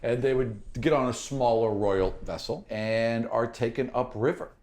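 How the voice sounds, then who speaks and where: A man acts as game master for a fantasy tabletop game, improvising descriptive narration in an informal way. His voice is medium in pitch, with some faint noise behind it. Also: normal speed.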